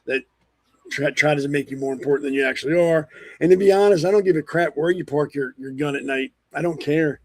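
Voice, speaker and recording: A man hosting a political talk show, blunt and rambling with lots of ums, hoarse from a cold. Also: fairly high pitch, a little fast, no room sound. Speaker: podcast host. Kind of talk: opinionated political commentary.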